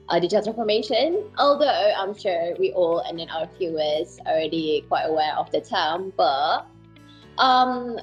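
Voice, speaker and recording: A woman's voice: on the low side, muffled recording. A woman hosting a podcast interview, asking questions casually with frequent ums. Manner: hesitant, frequent fillers. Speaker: podcast host.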